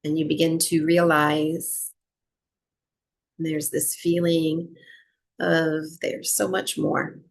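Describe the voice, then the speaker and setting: Slow, low-voiced woman guiding meditation. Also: level tone, creaky. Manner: unhurried, with long pauses between short phrases. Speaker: breathwork and yoga nidra teacher. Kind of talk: guided meditation, slow soothing instruction.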